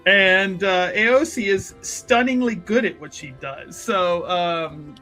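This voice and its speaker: A man playing a tabletop game, choosing his words in a measured, wry way. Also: sits high, bright, forceful tone, faint music behind, rough recording. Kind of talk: casual in-game discussion.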